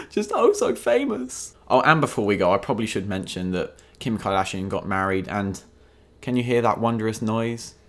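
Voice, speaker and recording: A young man with an English accent running through the day's odd news stories in a comedic vlog. His voice sits at an ordinary middle pitch. He talks with a sarcastic, mock-outraged edge. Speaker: vlogger.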